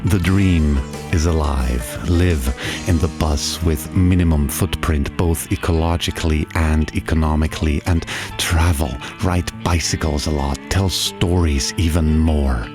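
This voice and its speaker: A man from Austria, narrating a reflective travel voice-over and asking slow, musing questions. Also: a bass voice, fairly even intonation. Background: guitar music.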